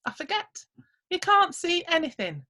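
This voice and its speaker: A woman voicing a tiny, cross fairy man with a high, sharp, scolding voice. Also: sing-song, moderate pace, exaggerated voice.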